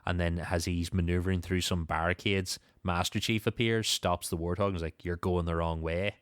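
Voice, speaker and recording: A man's voice: low voice, fairly even intonation, brisk, smooth, dry recording, studio quality. A man hosting a podcast, casually recapping and discussing a story with a co-host.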